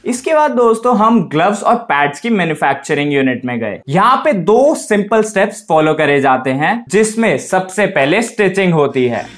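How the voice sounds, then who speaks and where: A young man narrates a vlog tour. His voice sits somewhat high for a man, and he addresses viewers as friends. Faint music plays behind him. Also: sing-song, moderate pace, few pauses. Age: young adult. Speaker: vlog host.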